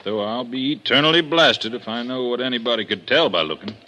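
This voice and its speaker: An actor playing a sardonic gruff police lieutenant. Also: male voice, mid-range voice, a little fast, pushed and bright, a little hiss or hum, close to an everyday voice. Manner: sardonic, blunt. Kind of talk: 1950s radio drama acting.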